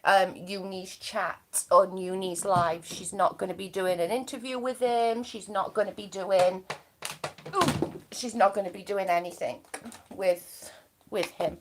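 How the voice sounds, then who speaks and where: A woman's voice: mid-range voice, fairly even intonation, a little slow, slight background noise, rough recording. A woman with a Welsh accent from near the English border, hosting a livestream and chatting in a bubbly, gossipy way while reading out viewer comments. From Wales. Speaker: livestream host.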